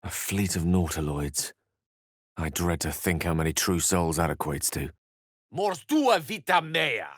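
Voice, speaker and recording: A learned wizard from a fantasy video game, speaks in a low, very animated voice. He is wordy and articulate, courteous with a wry, theatrical edge. The recording is close and dry, free of any room sound. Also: a little slow, dry recording, full character voice. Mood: grave, then incanting. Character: eloquent, learned adult male human wizard, wry and courteous. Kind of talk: video game character voice lines.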